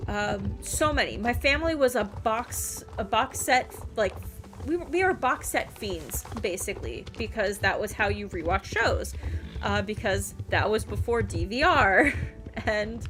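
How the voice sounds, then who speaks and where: A woman's voice: medium pitch, animated, measured pace, fairly bright tone, soft background music. A woman livestreaming a game, chatting and rambling casually and reading things aloud as she plays. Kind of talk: casual stream chat and game commentary.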